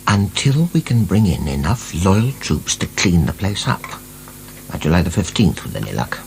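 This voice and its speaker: Deep-voiced sardonic royalist officer, radio drama, dry n wry, lively intonation. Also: male voice, normal speed, gravelly, exaggerated voice, low-quality recording. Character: urbane, sardonic French royalist official, unflappable pragmatist. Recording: hissy archived radio drama.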